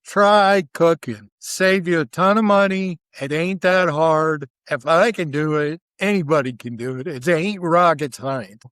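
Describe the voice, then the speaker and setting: A man's voice: a little high, unhurried, hardly any pauses, fairly bright tone, clean tone, no room sound, studio quality. A man chatting casually as an interview guest, with a folksy, colloquial, enthusiastic manner. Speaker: interview guest, barbecue cook.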